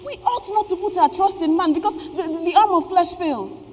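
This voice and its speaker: A woman's voice: extremely high pitch, brisk, a little mellow. A woman playing a bitter, struggling market seamstress and mother, emphatic and sarcastic in a stage play. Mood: exasperated. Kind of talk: theatrical stage play acting. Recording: echoey stage hall with background noise.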